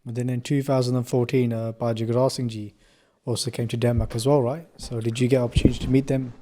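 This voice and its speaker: A man co-hosting a casual interview, putting questions quickly and plainly. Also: mid-range voice, little pitch movement, warm tone.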